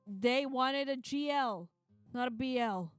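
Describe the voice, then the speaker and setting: A woman's voice: high voice, slow pace, clean tone, no echo. A woman on a live stream, sounding a little tired as she reads a game story aloud and banters with co-streamers. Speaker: streamer reading a visual novel aloud with co-streamers. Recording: music behind the voice.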